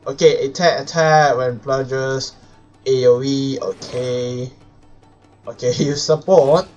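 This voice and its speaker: A man's voice: mid-range voice, fairly even intonation, slow pace, darkish tone. A man live-streaming a game, giving casual commentary in short offhand remarks. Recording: game music behind voice. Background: game music.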